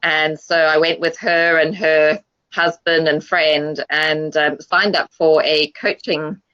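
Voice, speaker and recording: A woman with a bright, forward voice answers in a relaxed panel chat, pausing often and filling gaps with ums. Her audio comes through dull and lo-fi, like a video call. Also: low-pitched. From South Africa. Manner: hesitant, many ums. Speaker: guest. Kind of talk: casual online panel chat.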